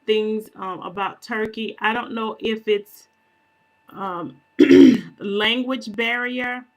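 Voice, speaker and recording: A woman talks slowly and casually to her viewers while she walks them through her screen. She often stops to clear her throat, pauses on fillers and corrects herself as she goes. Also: medium pitch, poor audio. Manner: unhurried, self-correcting, conversational. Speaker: prophetic minister and video channel host.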